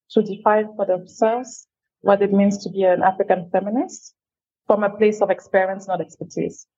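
A woman from Cameroon, a guest answering interview questions in a thoughtful, measured way that quickens on asides. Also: medium pitch, mellow, dull audio. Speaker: guest, feminist leader.

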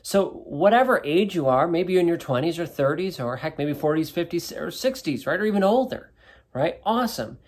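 A man's voice: on the high side, lively intonation, normal speed. A man in his thirties hosting a solo wellness show, talking in a conversational way and often adding "right?" to check in with listeners. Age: 30s. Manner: conversational, keeps asking "right?". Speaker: podcast host and wellness coach. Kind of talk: casual solo talk to listeners.

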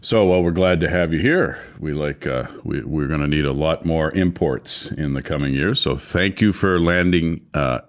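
A man's voice: a bass voice, normal speed, few pauses, dry recording, clean studio sound. A man hosting a podcast, earnestly reading a prepared intro and then interviewing a guest. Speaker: podcast host.

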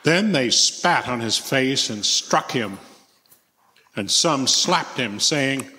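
An adult male voice narrates scripture as a church reader. The pitch is medium, and the sound carries a long reverberant tail. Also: expressive, slow pace, sharp tone. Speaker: church member reading as narrator.

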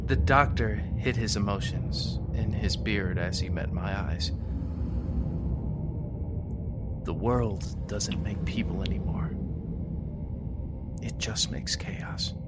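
A man playing a lonely, weary survivor broadcasting after an apocalypse in an audio drama, musing in a halting, understated, resigned way. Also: lowish voice. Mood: resigned, numb. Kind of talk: audio fiction monologue. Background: music.

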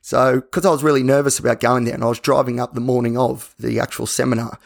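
An Australian man talks candidly as a solo podcast host. His voice is a bit croaky with plenty of fry, at an average pitch. He rambles conversationally and often corrects himself. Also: little pitch movement, fast. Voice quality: slightly croaky.

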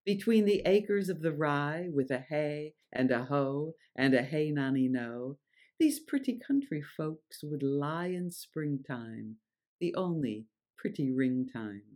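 Slow, expressive literary presenter with lively pitch. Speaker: presenter and reader. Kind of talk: scripted narration and poetry recital.

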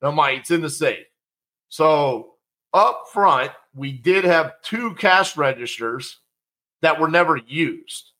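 A man's voice: fairly high pitch, slow pace. A middle-aged man telling a personal story in a casual, conversational way and acting out the quotes. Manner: conversational, animated, acts out quotes.

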